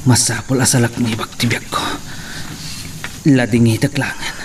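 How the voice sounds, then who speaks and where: A man plays a humble ranch hand in a radio-style drama. He speaks at a medium pitch, pleading respectfully with his superior. Cricket-like noise sits behind the voice. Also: steady tone, normal speed, close to an everyday voice. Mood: pleading, pained. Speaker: drama actor.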